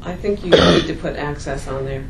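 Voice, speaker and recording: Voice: medium pitch, normal speed, gravelly, echoey room, distant mic, heavy background noise. A committee member at a meeting, arguing a point insistently. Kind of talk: casual meeting discussion. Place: meeting room.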